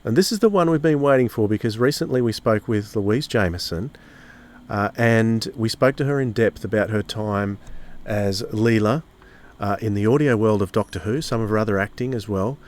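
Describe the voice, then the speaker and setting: A man's voice: fairly low pitch, moderate pace, a little mellow. A man with an Australian accent presenting a podcast in a conversational introductory style. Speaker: podcast host.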